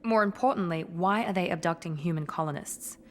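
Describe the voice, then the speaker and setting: A woman's voice: on the low side, a little fast, slight background noise, close to an everyday voice. A woman playing a cool, analytical covert operative in a video game, detached and matter-of-fact.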